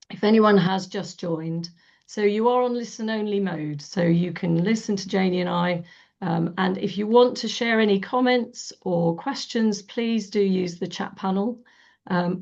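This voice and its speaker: Croaky-voiced woman hosting a webinar. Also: medium pitch. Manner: warm, chatty, many fillers. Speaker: webinar host. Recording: dull lo-fi webinar audio.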